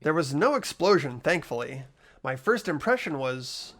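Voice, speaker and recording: A man's voice: on the high side, big pitch swings, normal speed, a bit bright, exaggerated voice. A man voicing an earnest, inquisitive teenage schoolboy who narrates his detective work in a visual novel game. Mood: uneasy, relieved. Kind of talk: acted visual novel dialogue and inner narration. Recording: music behind the voice.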